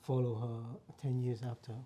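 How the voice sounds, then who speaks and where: Vietnamese-accented man, very dark voice. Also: mid-range voice, level tone, normal speed, a little hiss or hum. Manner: earnest, repeats phrases while recalling. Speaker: guest. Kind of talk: casual conversation.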